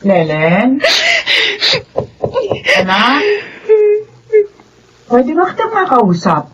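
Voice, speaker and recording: A woman's voice: on the high side, sing-song, drawn-out words, fairly bright tone, heavily acted. An actress playing a worried, grave middle-aged mother in a radio drama. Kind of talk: Filipino radio drama acting. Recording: muffled sound with faint noise.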